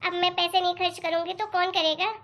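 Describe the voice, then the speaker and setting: A woman's voice: extremely high pitch, flat delivery, fairly quick, fairly bright tone. A woman voicing a demanding, spendthrift young wife in a cartoon moral story, chatty and pleading as she nags. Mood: pleased coaxing.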